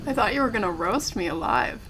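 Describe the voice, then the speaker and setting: An actress playing a polite, curious and wry young interviewer in an audio drama. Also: female voice, medium pitch, expressive, fairly quick, background noise, close to an everyday voice.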